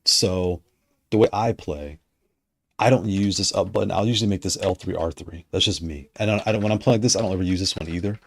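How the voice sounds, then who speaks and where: A man chatting casually on a livestream, rambling and correcting himself as he goes, his voice going hoarse. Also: low-pitched, fast, a little hiss or hum. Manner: rambling, self-correcting, conversational. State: losing his voice. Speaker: streamer discussing controllers.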